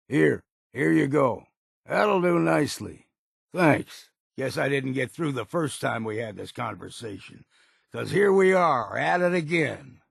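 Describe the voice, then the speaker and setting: An actor playing a gruff, blunt, hard-drinking older island hunter in a video game, with a gravelly, raspy voice and a folksy rural drawl. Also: male voice, medium pitch, a bit bright, no echo, studio quality, full character voice. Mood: obliging. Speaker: companion character in a video game.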